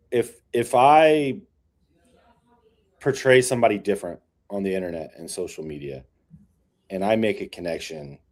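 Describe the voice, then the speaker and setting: A man speaking casually as a podcast guest, plain-spoken and pausing mid-thought for emphasis. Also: low-pitched, darkish tone. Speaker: podcast guest, real estate leader.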